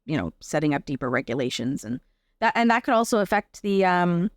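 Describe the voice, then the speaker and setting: A woman's voice: lowish voice, big pitch swings, fairly quick, no echo, studio quality. A Canadian woman giving reflective, explanatory answers as a guest in a conversational interview. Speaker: interview guest, performer and advocate.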